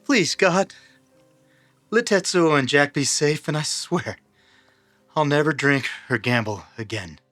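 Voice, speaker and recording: An actor playing a desperate, remorseful hard-drinking cowboy in a Western radio drama, delivered with emotive drama. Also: male voice, a little high, expressive, unhurried, long pauses, fairly bright tone, no room sound, faint music behind. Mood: pleading. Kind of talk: old-time Western radio drama acting.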